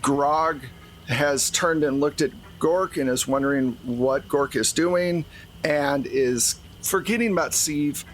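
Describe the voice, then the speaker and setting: A man's voice: a little high, slow. Man running a tabletop game, noisy recording. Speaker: game master. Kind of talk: narrating a tabletop game, casual.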